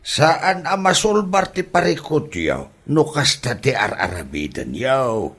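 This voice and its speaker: A man's voice: medium pitch, wildly swooping pitch, takes it slowly, a bit bright, heavily acted. A man playing an exasperated middle-aged father who scolds his grown daughter in a comedy audio drama.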